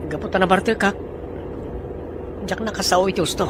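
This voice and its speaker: A man's voice: high-pitched, normal speed, background noise, lightly acted. A man acting a brooding, lovelorn boss who muses aloud in a radio drama. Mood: wistful. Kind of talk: Filipino radio drama dialogue.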